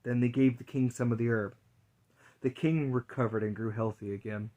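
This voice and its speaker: A man's voice: mid-range voice, steady tone, moderate pace, warm tone, muffled recording. A man narrating a fairy tale as a dramatic storyteller's reading.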